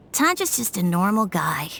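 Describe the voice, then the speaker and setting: A woman voicing a shy, polite little boy in an animated video game, sensitive and hesitant in the way of speaking. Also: medium pitch, slow, vocal fry, a little hiss or hum, full character voice. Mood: subdued. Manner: hesitant, soft-spoken stammering.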